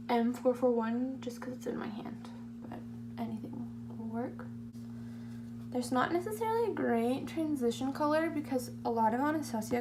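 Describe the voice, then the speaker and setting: A 21-year-old woman with a slightly hoarse voice, chatting casually through a makeup tutorial in a rambling, self-deprecating way. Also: fairly high pitch. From California, United States. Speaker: beauty vlogger. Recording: amateur home video, clear humming background noise.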